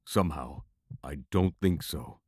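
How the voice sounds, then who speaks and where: An anxious young male adventurer in a fantasy audio drama, acted by a man. He speaks low and slowly, hesitant and full of doubt. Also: animated, warm tone, husky, no echo, studio quality, exaggerated voice. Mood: worried. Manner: hesitant, worried.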